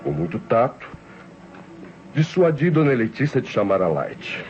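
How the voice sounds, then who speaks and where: An actor playing a cold-blooded, scheming nephew who narrates his murder plot in deliberate, theatrical 1960s radio crime drama style. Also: medium pitch, big pitch swings, darkish tone. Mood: calculating, confiding. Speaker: narrator and protagonist. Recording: muffled old radio recording with music.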